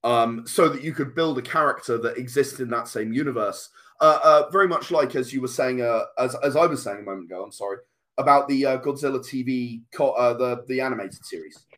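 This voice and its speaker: A man's voice: mid-range voice, a little fast, a bit bright. A man speaking as a guest game designer in a casual interview, explaining in long, enthusiastic runs.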